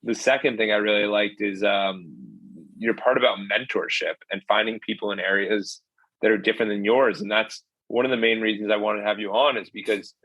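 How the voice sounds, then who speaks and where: A man with a lowish voice hosts a podcast and chats in an upbeat, casual way. He is recorded close and dry, with no room sound. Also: fairly even intonation, brisk, a bit bright, no echo, muffled recording. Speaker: podcast host. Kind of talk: podcast interview.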